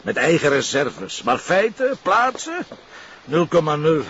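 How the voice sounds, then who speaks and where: An actor playing a blunt, sarcastic middle-aged Flemish police commissioner in a radio crime drama. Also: male voice, fairly high pitch, huge pitch swings, a little slow, a bit bright, lo-fi sound, a little hiss or hum, heavily acted. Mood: exasperated. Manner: sardonic, blunt, impatient.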